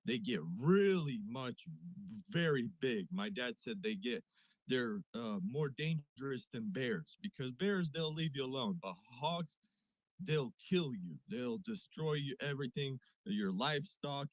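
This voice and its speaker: A man's voice: medium pitch, sing-song, a little slow, no room sound, rough recording. A man from Siberia with a Russian accent, chatting playfully as a caller. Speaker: guest caller. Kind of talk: casual chat on a live audio space.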